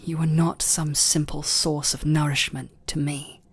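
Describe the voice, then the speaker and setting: A tender, lovestruck vampire lord played by a man speaks with a British accent in an intimate ASMR roleplay. His voice is husky and breathy, often sinking near a whisper. He takes his time, choosing formal, aristocratic words with halting restraint, as if torn between hunger and devotion. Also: fairly high pitch, little pitch movement. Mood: apologetic, restrained. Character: tender, conflicted male vampire lord in love.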